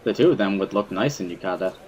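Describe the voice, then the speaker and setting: A man's voice: low voice, expressive, fast talker, slight background noise. A man reading a visual novel as first-person dramatic narration, in an earnest, brooding storytelling manner. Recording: music behind the voice.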